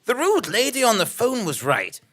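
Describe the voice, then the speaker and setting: A woman's voice: fairly high pitch, huge pitch swings, moderate pace, noisy background. A woman playing a bewildered amnesiac male investigative journalist in a comic audio drama, theatrical and mock-serious. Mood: mock-dramatic. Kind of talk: comic audio drama, scripted narration and dialogue.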